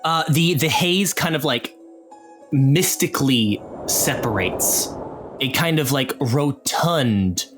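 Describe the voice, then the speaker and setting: A man running a tabletop game as game master, narrating off the cuff in a halting way. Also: medium pitch, animated, over music. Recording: clear background noise, room ambience.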